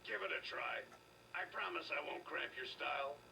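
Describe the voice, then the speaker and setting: An actor playing a weary, protective middle-aged father who runs a hotel, earnest and gravelly, in a video game. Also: fairly high pitch, expressive, moderate pace, bright, forceful tone, recorded from a distance, slight background noise, full character voice.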